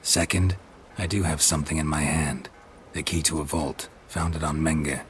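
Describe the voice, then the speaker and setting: An actor playing a gruff, sardonic monster hunter in a video game, terse and deadpan, with a gravelly voice. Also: male voice, very deep voice, normal speed, slight background noise. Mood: deadpan, irritated.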